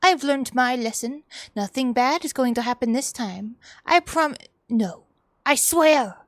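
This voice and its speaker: A woman voicing an eager teddy bear companion in a game, coaxing and reassuring in drawn-out theatrical phrases. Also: sits high, no echo, clean studio sound. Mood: insistent. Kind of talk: video game character dialogue.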